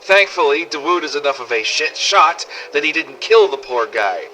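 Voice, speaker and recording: An actor playing a foul-mouthed, cynical zombie-apocalypse survivor, narrating a wry audio diary through an old cassette recorder filter. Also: male voice, a little high, lively intonation, normal speed, pushed and bright, low-quality recording. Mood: angry, exasperated. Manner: sweary, sardonic, world-weary storytelling. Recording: old cassette tape with faint noise.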